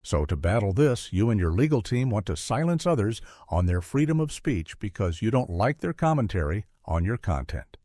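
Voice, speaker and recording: A man's voice: lowish voice, sing-song, normal speed, hardly any pauses, dark tone, dry recording, studio quality. A man hosting a scripted satirical interview, blunt, sarcastic and confrontational in his retorts. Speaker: host, mock interviewer.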